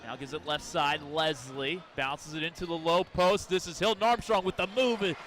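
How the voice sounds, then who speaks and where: High-pitched male basketball play-by-play announcer. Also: moderate pace. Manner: excitable, clipped descriptive phrases. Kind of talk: live basketball radio commentary. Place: courtside in an arena. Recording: noisy gym with bouncing balls. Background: basketball game arena noise.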